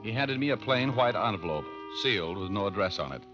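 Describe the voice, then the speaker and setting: A man's voice: lowish voice, lively intonation, a little fast, close to an everyday voice. An American man playing a hard-boiled, wisecracking private detective in a vintage radio drama, breezy and sardonic. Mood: wry narrating. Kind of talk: 1940s-50s radio detective drama, wry narration. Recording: muffled old radio recording with music.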